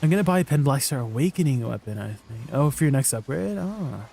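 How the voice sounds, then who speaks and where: A man with a Canadian accent chatting casually with viewers while streaming a game. He rambles in a relaxed way and trails off at the ends of his lines. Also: medium pitch, a little fast, vocal fry, a little hiss or hum.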